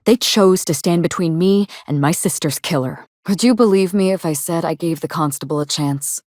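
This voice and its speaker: A woman's voice: lowish voice, normal speed, a little mellow, no echo, lightly acted. Woman acting a vengeful grieving female game character, sardonic, articulate, controlled delivery, dry close studio sound. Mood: defensive, indignant. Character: sardonic, grieving actress out to avenge her murdered sister. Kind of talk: video game dialogue acting.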